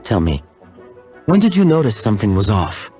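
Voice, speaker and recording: Wry, teasing ice swordsman for a game, male actor: lowish voice, animated inflection, music underneath. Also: normal speed. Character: wry, smooth-talking ice-wielding swordsman, adult male. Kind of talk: video game voice acting.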